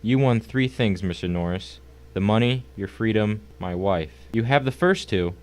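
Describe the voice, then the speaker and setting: A fairly low-pitched crime boss, acted by a man for an audio thriller. He is world-weary and sure of himself, with a cultured drawl to his lines. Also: moderate pace, darkish tone, close to an everyday voice. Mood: smug, bored. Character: smug, world-weary crime boss, lazy, amused, turning desperate. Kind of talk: dramatic audio story acting.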